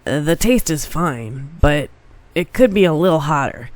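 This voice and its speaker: A congested woman voicing a sly, teasing young male swordsman with a slow, needling way of talking. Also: sits low, lively intonation, no echo, pitched below the performer's own voice. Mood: mocking. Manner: teasing, drawling.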